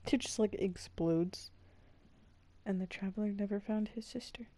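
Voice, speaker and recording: A woman's voice: mid-range voice, a little slow, warm tone. A woman doing casual, slangy, playful live commentary as she streams gameplay.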